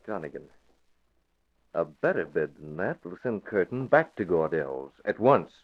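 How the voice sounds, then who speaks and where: A masked vigilante with a fairly low, hard voice who gives clipped, menacing orders. He sounds like a 1940s radio drama, heard through a dull, muffled old recording. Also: very animated, measured pace, heavily acted. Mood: stern. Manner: curt, menacing, commanding.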